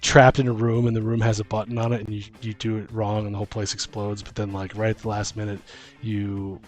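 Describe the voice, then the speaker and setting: A man's voice: lowish voice, level tone, a little fast. A man in a casual, rambling chat, brainstorming ideas as a tabletop game host. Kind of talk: casual chat about fantasy tabletop games. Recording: music playing behind the voice. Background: music.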